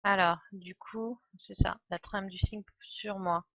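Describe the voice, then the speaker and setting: A woman's voice: medium pitch, steady tone, slow pace, fairly bright tone, no echo, dull audio. A woman, a game streamer commenting casually and thinking aloud with hesitations. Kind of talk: casual live commentary and explanation. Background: game music.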